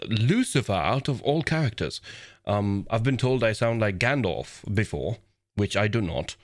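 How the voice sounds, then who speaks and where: A man co-hosting a community podcast, talking casually in a deep, commanding voice with a relaxed, playful way of cutting in. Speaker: podcast co-host.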